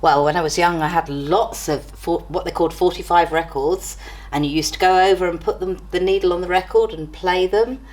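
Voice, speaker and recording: A woman's voice: lowish voice, steady tone, fairly quick, darkish tone. An older woman answering interview questions in a casual, reminiscing way. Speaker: interviewee from a college.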